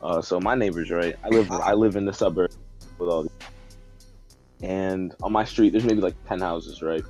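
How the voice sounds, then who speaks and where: A man with a fairly low voice tells a comedic story among friends. His talk is slangy and animated, loose and casual. Faint music plays behind him. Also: normal speed. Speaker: podcast guest telling a story. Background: background music.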